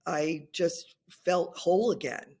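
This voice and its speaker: A woman in her sixties speaking as a patient in an earnest, deliberate way. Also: on the low side, a little mellow. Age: 60s. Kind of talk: interview testimony.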